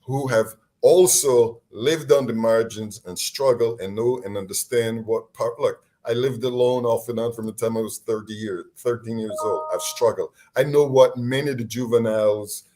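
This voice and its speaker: A man's voice: medium pitch, normal speed, hardly any pauses, a little hiss or hum. A man from Barbados, a deputy court commissioner, speaking earnestly over a remote call, often restarting his sentences. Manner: earnest, animated, frequent restarts. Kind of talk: casual interview on a call. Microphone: remote call line.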